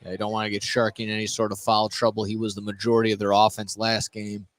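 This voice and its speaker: A man's voice: fairly low pitch, completely flat delivery, fast talker. A man giving casual color commentary and analysis on a live basketball broadcast. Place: indoor gym during a game. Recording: loud crowd noise, live broadcast. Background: loud crowd.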